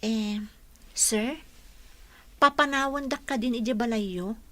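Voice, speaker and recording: Bright-spirited high-voiced caregiver. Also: female voice, slow pace, no room sound. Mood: cheerful, teasing. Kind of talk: Ilocano radio drama acting.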